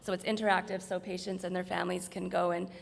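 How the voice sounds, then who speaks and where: A woman with a slightly hoarse voice gives an informal, explanatory slide presentation in a large room. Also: fairly low pitch, level tone, fast talker. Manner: explanatory, conversational. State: slightly hoarse, losing her voice. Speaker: presenter from hospital staff. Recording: reverberant hall.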